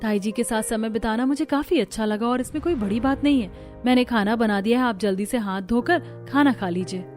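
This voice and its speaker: Woman as gentle young sister, cartoon drama, soft-toned, earnest, polite, apologetic, background music. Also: a little high, a little fast, close to an everyday voice. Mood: caring. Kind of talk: Hindi cartoon story acting.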